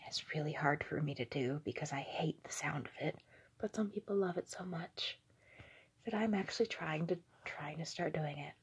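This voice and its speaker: A young adult woman giving a whispered, halting ASMR monologue in a breathy voice, recorded indoors on a phone held at arm's length. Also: deep voice. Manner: slow, hesitant, halting. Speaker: ASMR video creator. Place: small indoor room with curtains.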